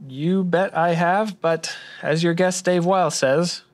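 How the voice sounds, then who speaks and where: Man playing a high-pitched, eager accountant. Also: steady tone, takes it slowly. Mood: chipper. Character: eager, folksy male accountant in noir radio play. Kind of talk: old-time radio drama acting. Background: music under the voice.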